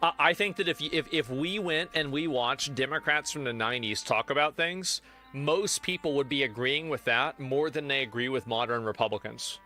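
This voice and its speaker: A man's voice: mid-range voice, normal speed, bright, forceful tone, faint music behind. A man streaming, commenting casually on a video with hesitant restarts. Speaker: live streamer.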